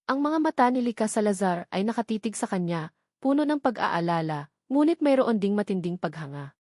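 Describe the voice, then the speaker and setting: Female storyteller for scripted romantic drama narration, medium pitch, very dry close sound. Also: sing-song, brisk, darkish tone, no echo.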